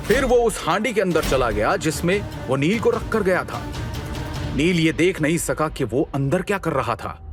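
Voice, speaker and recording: A man narrating a scripted fantasy audio drama in an expressive, suspenseful storytelling manner. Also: fairly high pitch.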